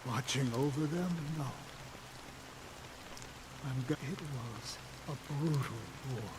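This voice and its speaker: A man's voice: mid-range voice, heavy background noise, natural voice. A man playing a weary old ranger in the rain. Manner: slow, reflective, heavy with resignation. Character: weary, world-worn older male ranger. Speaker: game character. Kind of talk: game cutscene voice acting. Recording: game audio with rain falling behind.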